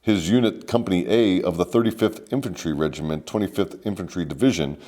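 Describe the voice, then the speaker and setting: A man's voice: low voice, brisk, dark tone. A man hosting a scripted comedic military history story, mock-formal and sardonic with salty slang. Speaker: host and storyteller.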